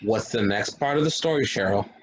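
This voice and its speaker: A man's voice: fairly low pitch, expressive, fairly quick, natural voice. An actor playing an easygoing, curious young man with a casual delivery, in a table reading of a stage play. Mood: calming, puzzled. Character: easygoing, curious young guy keeping peace.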